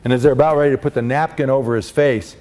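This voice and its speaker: An impassioned male preacher telling a story to a congregation, with a middle-pitched voice that climbs high and loud at dramatic quotes. A long reverb from a large hall trails after his words. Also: steady tone, fast talker. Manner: impassioned, rising to loud peaks when quoting martyrs. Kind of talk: storytelling sermon.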